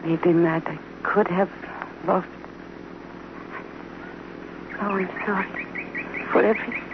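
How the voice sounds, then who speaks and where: A woman playing a dying, remorseful female outlaw in a radio drama, speaking faintly and haltingly as her strength fails. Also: lowish voice, steady tone, natural voice. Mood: weak, remorseful. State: weakening, near death. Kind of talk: 1950s radio drama acting. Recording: dull, noisy old radio recording.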